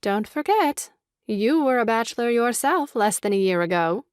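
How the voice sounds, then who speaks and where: A woman's voice: fairly high pitch, animated, normal speed, clean tone, no echo, close to an everyday voice. Woman doing a bossy proper young sister in an old stage farce, earnest wordy delivery, very dry audio. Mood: dry sympathy. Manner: prim, earnest, slightly superior. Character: brisk, opinionated young Englishwoman, sister of new father. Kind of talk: theatrical audio drama farce acting.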